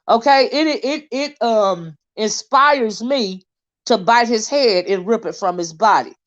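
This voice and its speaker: A woman hosting her own commentary stream, talking bluntly and emphatically, circling back on her points with plenty of fillers. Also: on the high side. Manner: blunt, emphatic, repeats herself with many fillers. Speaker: commentary channel host. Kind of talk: live-stream commentary monologue. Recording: dull lo-fi stream audio.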